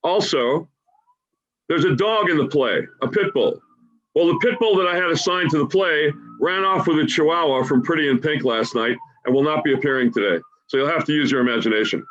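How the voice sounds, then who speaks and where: Male host, slightly high voice, informal and lightly joking welcome to a play, muffled video-call sound. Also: brisk, a bit bright. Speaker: host introducing a staged play reading. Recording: dull, lo-fi Zoom call audio.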